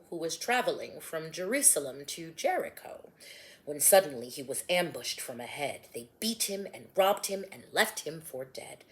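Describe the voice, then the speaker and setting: A woman voicing a gentle parable-telling teacher. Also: low-pitched. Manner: rhyming, measured storytelling.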